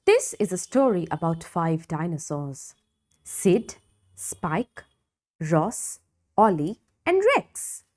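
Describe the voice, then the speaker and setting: A woman reading a children's story expressively, with deliberate dramatic emphasis for young listeners. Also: fairly low pitch, sing-song, a little mellow. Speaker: storybook reader.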